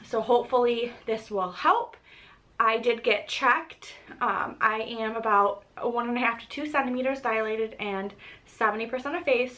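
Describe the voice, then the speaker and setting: A woman's voice: fairly high pitch, fairly bright tone. A young pregnant woman giving a casual vlog update, congested and a little short of breath, chatty with frequent ums. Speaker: pregnancy vlogger.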